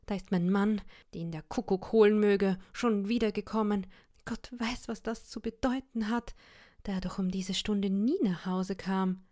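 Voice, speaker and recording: A woman voicing a poor young wife who scolds and laments in a high, shrill voice, read as part of an audiobook. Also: fairly even intonation, unhurried, warm tone, natural voice. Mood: alarmed. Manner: shrill, indignant, self-pitying laments. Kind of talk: audiobook reading of a classic tale.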